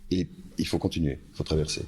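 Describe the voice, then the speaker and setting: A man's voice: extremely low pitch, fairly even intonation, quick pace, a little mellow, slightly rough. A man, a brand founder, speaking as a guest on a panel and often repeating words while he searches for them. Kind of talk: panel conversation. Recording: live event with a panel.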